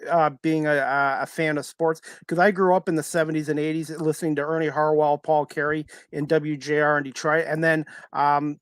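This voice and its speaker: A man in his forties hosting a casual sports talk show, speaking in a halting but keen fan's manner. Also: a little high, a bit bright, clear, resonant tone, no echo. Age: 40s.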